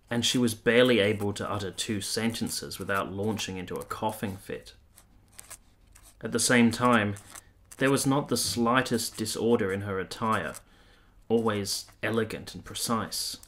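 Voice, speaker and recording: A man acting a courteous, reserved young army captain in a radio play, speaking in a formal, measured, literary way. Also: fairly low pitch, a bit bright. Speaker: actor and narrator in a radio play. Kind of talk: literary first-person narration and radio drama dialogue.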